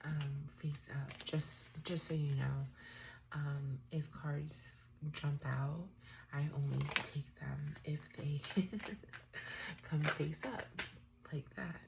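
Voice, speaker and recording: A woman with a stuffed-up cold, doing a tarot reading in a soft, often half-whispered, musing way. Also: low voice, fairly even intonation, slow pace, gravelly, noisy background. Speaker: tarot reader. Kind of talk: unscripted tarot reading for viewers.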